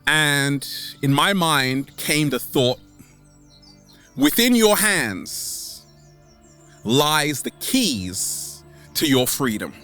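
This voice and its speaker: A man's voice: on the high side, sing-song, drawn-out words, long pauses, sharp tone, soft background music. A man hosting a motivational vlog outdoors, upbeat and theatrical, stretching out his words and repeating them playfully. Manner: upbeat, exuberant. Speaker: video host. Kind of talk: motivational vlog to camera, catchphrases. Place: outdoors in nature.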